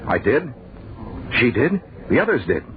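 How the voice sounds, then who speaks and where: An actor playing a bewildered, frightened young man who tells his nightmare in halting, intense old-time radio narration, repeating words in disbelief. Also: mid-range voice, sing-song. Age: young adult. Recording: narrow, muffled old radio broadcast.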